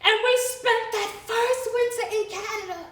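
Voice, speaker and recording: Bright, high-pitched American woman acting a proud freedom fighter. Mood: earnest, reflective. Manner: storytelling with dramatic pauses and repeated phrases. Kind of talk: theatrical solo monologue with sung phrases and audience banter. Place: indoor theatre stage before a live audience. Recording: roomy stage, distant microphone.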